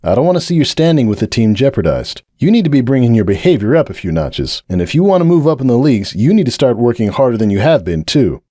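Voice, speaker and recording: A man's voice: mid-range voice, sing-song, quick pace, hardly any pauses, mellow, no echo, studio quality, pitched above the performer's own voice. A man doing a stern stallion team captain. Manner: firm, lecturing and serious.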